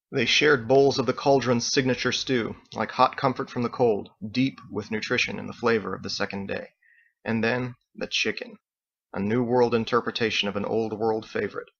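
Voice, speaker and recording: A man's voice: fairly low pitch, vocal fry, fairly bright tone, dull audio. A man reading his own novel aloud as scripted audiobook narration.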